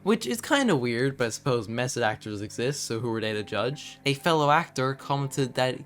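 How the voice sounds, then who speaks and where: A man reading scripted commentary in a video essay, with measured storytelling broken by conversational asides. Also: medium pitch, lively intonation, a bit bright. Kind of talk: scripted narration, horror series commentary. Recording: background music under voice. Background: background music.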